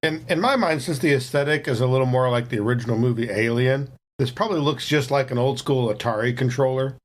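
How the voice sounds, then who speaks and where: A man's voice: medium pitch, quick pace. A man talking casually as a tabletop game player, describing what his character does.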